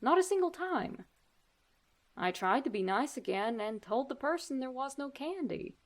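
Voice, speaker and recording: A woman host reading horror stories aloud in a deliberate, theatrical narrating style. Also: high voice, expressive. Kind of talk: dramatic scripted story narration.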